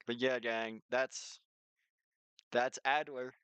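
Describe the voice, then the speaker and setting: A man's voice: medium pitch, fairly even intonation, takes it slowly, fairly bright tone, clean tone, no echo. A man hosting a game stream with casual, playful chat, often breaking off and restarting his sentences. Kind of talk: casual gaming livestream chat, reading game text aloud.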